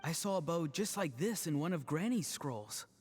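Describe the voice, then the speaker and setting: A man's voice: on the high side, animated, normal speed, slight background noise, lightly acted. A man voicing an easygoing young grandson in a video game, casual, teasing and self-deprecating. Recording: music behind the voice. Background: game music.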